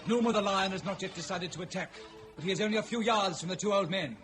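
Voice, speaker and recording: High-pitched dramatic male serial announcer. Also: quick pace. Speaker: narrator, announcer. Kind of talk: dramatic radio serial narration. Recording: old radio broadcast with music. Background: music.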